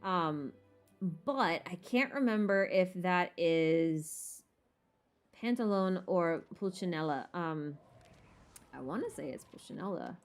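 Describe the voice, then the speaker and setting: A woman's voice: on the low side, lively intonation, slow pace. Casual woman streamer over background music. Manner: informal, thinking aloud. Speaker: livestream host. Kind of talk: casual chat, game lore rambling.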